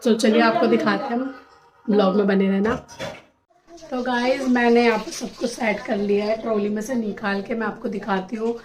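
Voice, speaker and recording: A young woman with a slightly high voice and a sore throat talks casually to her vlog audience. She is chatty and friendly, walking viewers through things one at a time. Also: fairly even intonation, normal speed, darkish tone. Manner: chatty, shows items one by one to the camera. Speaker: vlogger. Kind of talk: casual family vlog chat.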